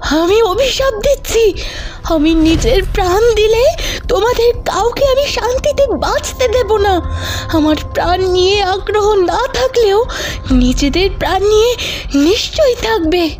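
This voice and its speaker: তীক্ষ্ণ উঁচু গলার প্রতিশোধপরায়ণ তরুণী প্রেতাত্মা. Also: female voice, very high-pitched, animated, unhurried, a little mellow. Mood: furious grief. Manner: crying, cursing. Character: young woman, grieving, vengeful ghost. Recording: music behind voice.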